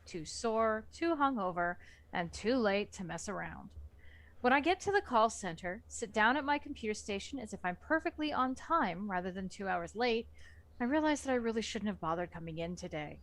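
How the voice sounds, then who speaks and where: Woman, casual book club reader, first-person narration, plain even delivery. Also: mid-range voice, normal speed. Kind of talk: reading a novel aloud with casual chat.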